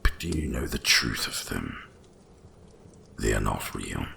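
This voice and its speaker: A man voicing an ancient, wise dragon guardian of winter in a slow, solemn, gravelly whisper for an ASMR roleplay. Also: very animated, pushed and bright, full character voice. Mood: grand, revealing. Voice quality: deep gravelly breathy whisper. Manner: slow, solemn, deliberate delivery.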